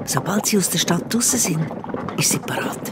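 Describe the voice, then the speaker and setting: A woman's voice: on the low side, moderate pace, very noisy. A woman with a Swiss accent narrating a children's audio drama from a script. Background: music.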